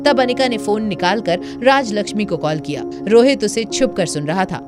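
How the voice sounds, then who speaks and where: A woman's voice: medium pitch, expressive, moderate pace. A woman reading scripted, dramatic story narration for an audio series. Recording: music bed under narration. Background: dramatic background music.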